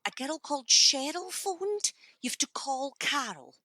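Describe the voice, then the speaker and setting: Flustered office worker, high animated voice. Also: female voice, a little slow, no room sound, studio quality, exaggerated voice. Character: flustered, well-meaning female helpline office worker. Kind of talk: naturalistic radio play acting.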